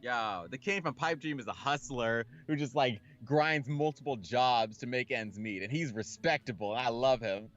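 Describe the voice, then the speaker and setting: A man's voice: medium pitch, normal speed, hardly any pauses, fairly bright tone, dull audio, faint music behind. A man casually reading a game aloud and chatting about it with slangy, sweary reactions. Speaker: let's-play host reading a visual novel aloud. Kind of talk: casual co-host chat and narration of a game.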